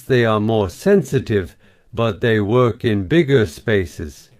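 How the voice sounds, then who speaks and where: A man's voice: mid-range voice, darkish tone, no echo. A man working as an audio engineer, explaining hi-fi topics in a casual, methodical and slightly hesitant way.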